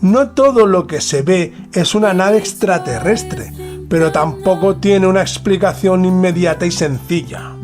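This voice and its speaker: A man from narrates a scripted mystery documentary in a solemn, dramatic manner with deliberate pauses. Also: high voice, over music. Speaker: podcast host narrator.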